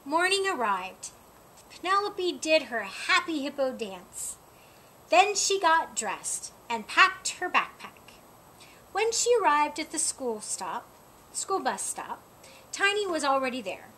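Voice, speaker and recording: A woman reading a picture book aloud in an expressive storytelling style. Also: high voice, takes it slowly, a little hiss or hum, rough recording. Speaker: storybook reader. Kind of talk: expressive children's read-aloud.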